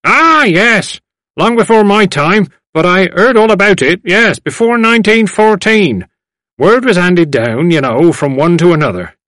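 A chatty old countryman from a storybook, pitched high and swooping as he reminisces. He rambles, repeats himself and stumbles over words in a rustic way, in a close, dry recording. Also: lively intonation, measured pace, a bit bright, dry recording. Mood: eager, reminiscing. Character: garrulous, rambling old village man, eager to reminisce.

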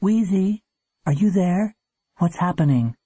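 A tender, emotional storybook mother, performed by a man. Her voice is faint and breathy, lifted in pitch and very gentle. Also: expressive, unhurried, dry recording, heavily acted. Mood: tender, worried.

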